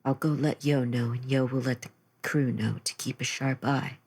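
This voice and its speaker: Small winged female scout played by a man, caring and earnest, slightly husky, for a fantasy game. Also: mid-range voice, fairly even intonation, moderate pace, a little mellow. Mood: earnest, watchful. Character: Eldorus, small female winged companion, protective, gentle.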